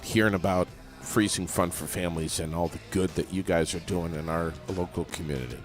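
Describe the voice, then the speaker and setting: A man's voice: deep voice, a little fast, over music. A man hosting a casual radio interview in a studio, loose and joking, with some casual swearing. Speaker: radio host.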